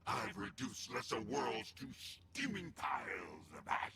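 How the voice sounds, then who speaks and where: A man voicing an arrogant, menacing spirit in a video game, pompous and grandiose, with a gravelly voice. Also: medium pitch, sing-song, a little slow, very noisy. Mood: haughty menace. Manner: pompous, grandiose, theatrical threats. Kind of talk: video game cutscene villain acting. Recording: loud music mixed in.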